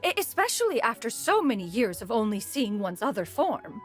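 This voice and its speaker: A woman's voice: a little high, sing-song, a little fast, faint music behind. A woman voicing an ancient female crane spirit in a video game, formal and haughty, calling herself "one". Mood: stately.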